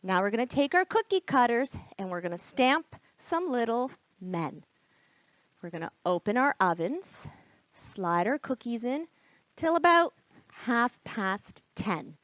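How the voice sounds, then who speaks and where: Animated, bright-voiced woman reading to children. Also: a little high, a little slow, dry recording. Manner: expressive, gasps and whispers for drama; encouraging, talks directly to young listeners. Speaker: children's librarian reading bedtime stories. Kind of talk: animated children's storytime read-aloud. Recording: dull, lo-fi sound.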